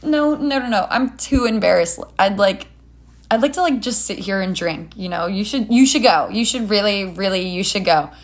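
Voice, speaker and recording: A woman voicing a scheming young woman desperate to get rid of her date, talking in halting slang. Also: medium pitch, animated, lightly acted. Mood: embarrassed, desperate.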